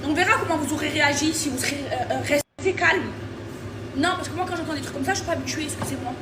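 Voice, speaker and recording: A woman's voice: sits high, quick pace, recorded from a distance. A woman from France ranting indignantly, her voice shaky and half laughing, half crying. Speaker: influencer in a clip. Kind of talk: emotional rant to camera. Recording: echoey noisy room from off mic.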